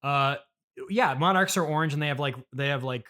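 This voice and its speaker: Halting, awkwardly polite man chatting. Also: on the high side, bright, forceful tone. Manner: halting, hesitant, awkwardly polite, repeats himself. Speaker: podcast performer playing himself as interviewer. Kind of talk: improvised casual comedy chat.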